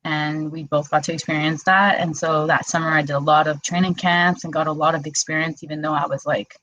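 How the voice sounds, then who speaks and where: Woman in her 20s, from Quebec, low voice, casual chatty guest, often says "like". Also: level tone, a little fast, fairly bright tone, dry recording, dull audio. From Quebec, Canada. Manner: chatty, frequent "like", storytelling. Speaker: wrestler, interview guest. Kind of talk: casual interview chat.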